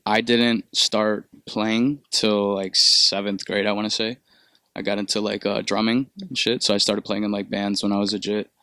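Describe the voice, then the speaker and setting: A young man with a low-pitched voice, recorded close and dry. He talks in a relaxed, rambling way, full of fillers and casual swearing. He grew up in Peru. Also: smooth, dry recording. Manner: laid-back, rambling with many fillers and profanity. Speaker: musician guest. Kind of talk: casual interview.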